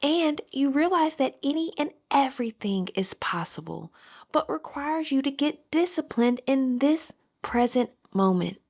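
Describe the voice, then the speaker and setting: Slow, high-pitched woman guiding meditation. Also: lively intonation, darkish tone. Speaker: guided meditation instructor. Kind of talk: scripted guided meditation.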